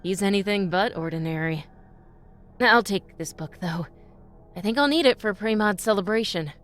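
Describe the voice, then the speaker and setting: An actress playing a determined, inquisitive devotee of a trickster god in a fantasy audio drama, speaking earnestly and directly. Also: female voice, mid-range voice, moderate pace, natural voice. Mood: resolved. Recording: music bed under the voice.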